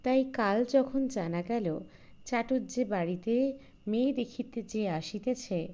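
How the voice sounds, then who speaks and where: A woman narrating a literary short story in a measured, formal storytelling manner. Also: medium pitch, dark tone, highs cut off. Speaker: audiobook narrator.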